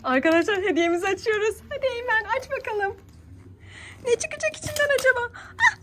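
Very high-pitched woman, coaxing and exclamatory. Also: animated, fairly quick, background noise. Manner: exclamatory, coaxing, asking many questions. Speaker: mother hosting a children's play vlog. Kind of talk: playful vlog narration to children and viewers. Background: music.

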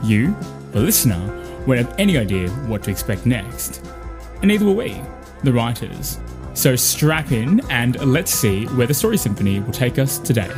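A man's voice: mid-range voice, very animated, moderate pace. A man hosting a fiction podcast, reading a scripted introduction. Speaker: podcast host and writer. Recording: music under the voice.

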